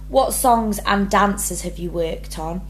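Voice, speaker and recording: A woman's voice: mid-range voice, slight background noise, low-quality recording. A young woman drama student, talking earnestly through her rehearsal blog and reading each question aloud before answering. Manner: earnest, many ums, reads prompts then answers.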